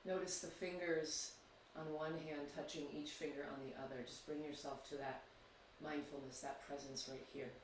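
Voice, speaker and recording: A man leading a guided yoga meditation, speaking slowly and soothingly with long pauses. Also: on the high side, level tone, a little hiss or hum. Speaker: yoga teacher. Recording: very echoey, distant mic.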